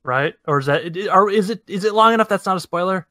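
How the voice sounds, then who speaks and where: A man's voice: sits high, fast talker, pushed and bright, dry recording. A man chatting casually as a panelist on a group podcast.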